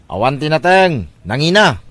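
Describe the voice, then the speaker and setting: An actor playing an abusive, scheming relative in an audio drama, with a scornful, brusque way of talking. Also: male voice, fairly high pitch, sing-song, moderate pace, fairly bright tone. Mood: dismissive. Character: abusive, lazy, scheming male relative who mistreats elders. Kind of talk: Ilocano audio drama acting.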